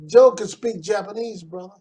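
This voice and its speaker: A man's voice: high-pitched, normal speed, dark tone. A man hosting a casual interview, eager and friendly, full of slang as he trades stories with his guest. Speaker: host.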